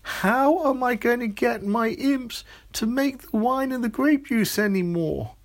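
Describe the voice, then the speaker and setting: A man doing a booming purple grape monster. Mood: squeaky, upset. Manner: booming and slow, then squeaky once shrunk tiny. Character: huge purple grape monster, male, bossy master.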